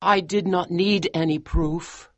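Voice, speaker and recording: A man's voice: high voice, animated, unhurried, no room sound, lo-fi sound, pitched above the performer's own voice. A man voicing a loving, determined young wife in a storybook reading, with an earnest, pleading delivery. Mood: quiet. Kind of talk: audiobook character reading.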